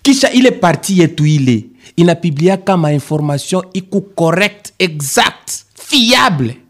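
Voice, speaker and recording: An actor playing an excitable ordinary man in a radio play, with a creaky voice and a Congolese accent. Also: a little high, normal speed. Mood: earnest. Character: Kabeya, excitable ordinary man spreading market news. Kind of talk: radio play dialogue in colloquial register.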